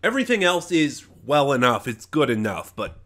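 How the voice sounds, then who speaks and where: A man giving a casual, unscripted review, speaking emphatically in a halting way, often trailing off and regrouping mid-thought. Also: medium pitch, sing-song, a bit bright. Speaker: online reviewer.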